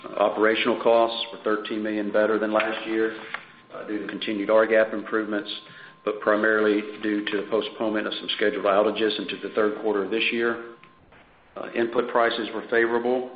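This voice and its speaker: Male executive, lowish monotone delivery of financial results, businesslike, on a dull conference line with faint noise. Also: a little fast, roomy sound. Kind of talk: prepared earnings call presentation. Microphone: conference call line.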